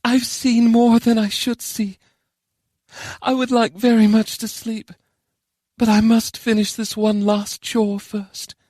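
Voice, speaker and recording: A man's voice: sits high, level tone, takes it slowly, a little mellow, dry recording, studio quality, voice pushed up. A man voicing a woman bard warrior in an audiobook, wistful yet fervent, with an earnest, declamatory delivery. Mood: wistful, solemn. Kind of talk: audiobook character voice.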